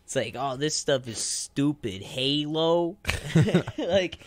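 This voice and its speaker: A man's voice: a little high, animated, slow pace, no echo. Young guy chatting casually, close dry mic. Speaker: radio show co-host. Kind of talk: casual chat.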